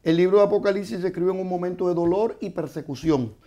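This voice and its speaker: A man, a guest author, explaining scripture in a measured, teaching way on a studio panel. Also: sits high, dark tone, lo-fi sound.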